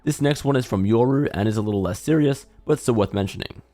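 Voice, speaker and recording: A man's voice: medium pitch, quick pace, mellow, faint music behind. A man delivering scripted video commentary as a gaming lore commentator.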